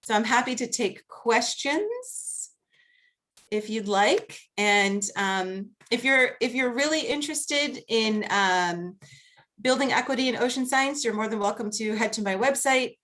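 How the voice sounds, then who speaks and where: A woman's voice: a little high, expressive, a bit bright. Earnest woman with a Boston accent. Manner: earnest, measured, laughs easily. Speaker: guest speaker, marine conservation researcher. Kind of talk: academic panel talk and Q&A.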